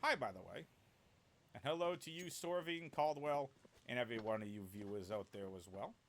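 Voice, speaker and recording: A man casting an esports match solo, with a deep voice, calling the plays and chatting with viewers, and excitable enough to build to shouts on big plays. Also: moderate pace. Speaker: esports caster. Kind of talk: solo play-by-play esports commentary.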